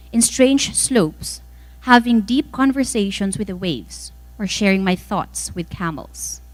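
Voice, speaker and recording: A woman delivers a prepared welcome address in a medium-pitched voice. Her intonation is lively and moves widely up and down. She phrases each thought deliberately and dramatically, leaving measured pauses between phrases. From the Philippines. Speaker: event speaker reading welcome remarks on another's behalf. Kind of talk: scripted speech, inspirational welcome address.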